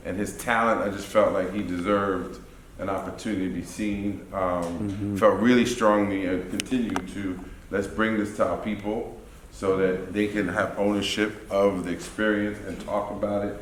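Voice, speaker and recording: A man's voice: fairly low pitch, steady tone, normal speed. A man speaking as producer at a theater talkback, chatty and rambling, aware he can get long-winded. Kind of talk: casual post-show talkback remarks. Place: theater event space, indoors. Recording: echoey room, faint background noise.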